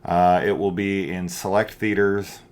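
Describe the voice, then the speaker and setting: A man's voice: deep voice, little pitch movement, a little slow, a little mellow. A middle-aged man hosting a casual film review, rambling and opinionated, with emphatic points.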